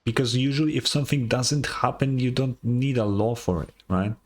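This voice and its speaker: A man's voice: mid-range voice, moderate pace. A tired man giving casual game reaction commentary as a streamer, musing and thinking aloud. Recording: game music behind the voice.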